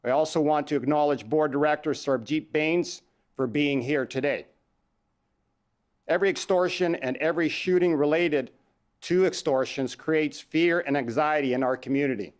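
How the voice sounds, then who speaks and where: A man with a Canadian accent, a police chief giving a formal statement at a press conference, measured and deliberate. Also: a little high. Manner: measured, reads numbers out slowly.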